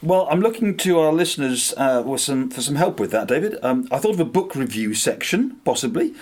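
A man's voice: mid-range voice, lively intonation, brisk, a little mellow. Upbeat man co-hosting. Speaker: podcast co-host. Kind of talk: casual chat. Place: home room.

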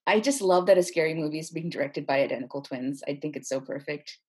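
A woman with a husky voice talking casually and enthusiastically as an interview guest, a writer explaining her work. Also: low voice, fast, darkish tone. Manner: enthusiastic, effusive. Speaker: playwright and screenwriter, interview guest.

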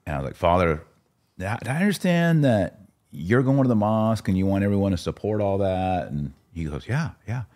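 A man's voice: fairly low pitch, very animated, brisk, a little mellow. A man hosting a podcast, telling stories in a casual, informal way and acting out both sides of remembered conversations. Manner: informal, recounts dialogue in quotes. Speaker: podcast host.

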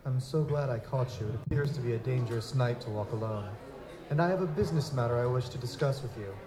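An actor playing a courteous, grieving young nobleman in a live audio drama, speaking in formal, deliberate aristocratic phrases. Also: mid-range voice, crowd noise, natural voice, low-quality recording. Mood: courteous, smooth.

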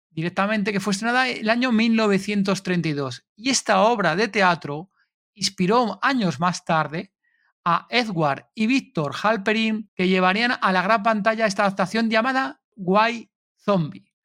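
This is a podcast host who talks at a middling pitch. The host builds a story in a loose, conversational way and keeps checking in with listeners through little questioning tags. The result is a relaxed, explanatory chat. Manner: explains at length, tags sentences with "no?".

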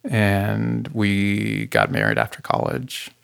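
A man in his thirties, a therapist and youth pastor, talking earnestly about his background in a relaxed interview. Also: on the low side, takes it slowly, gravelly, clear, resonant tone. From Chile. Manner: earnest, relaxed storytelling with frequent fillers. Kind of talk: casual interview talk.

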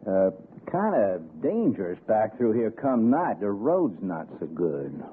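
An actor playing an earnest, deferential mountain lawman with an Appalachian accent and halting, folksy manner in 1960s radio suspense. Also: on the low side, very animated, a little hiss or hum. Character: slow-spoken, earnest backwoods mountain lawman, locally born. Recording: tinny old radio broadcast.

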